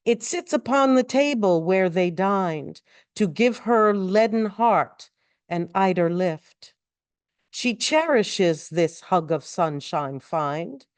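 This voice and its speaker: A woman reading poetry aloud in a measured recitation, with deliberate and expressive phrasing. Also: mid-range voice, smooth, no room sound. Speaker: poetry reader.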